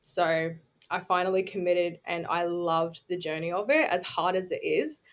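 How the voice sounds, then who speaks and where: A woman's voice: fairly low pitch, normal speed. A woman, chatting informally as a podcast guest and telling her own story. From New Zealand, raised on Australia's Gold Coast. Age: 20s. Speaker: podcast guest, bodybuilding competitor. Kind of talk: casual chat, personal storytelling.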